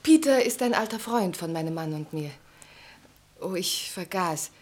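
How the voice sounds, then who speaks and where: An actress in a German radio play playing a poised but flighty society hostess with a mannered, theatrical way of talking. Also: female voice, fairly low pitch, expressive, normal speed, darkish tone. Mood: gracious, flustered.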